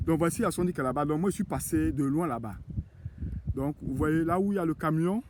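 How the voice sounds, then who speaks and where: A man's voice: fairly high pitch, fast. Hesitant man filming outdoors, noisy. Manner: hesitant, full of fillers and restarts. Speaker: real estate agent. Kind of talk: casual walking video tour. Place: outdoors at a land plot.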